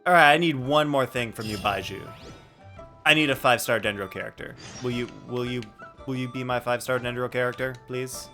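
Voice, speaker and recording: A man's voice: mid-range voice, fairly even intonation, a little fast, bright, forceful tone, faint music behind. A man doing casual live commentary as he streams a video game, playful, joking and mock-argumentative.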